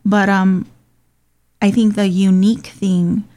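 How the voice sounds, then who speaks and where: A woman's voice: mid-range voice, little pitch movement, slow pace, warm tone. A woman who grew up in Hawaii, hosting and talking in a casual, earnest way with frequent ums. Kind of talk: casual conversational talk.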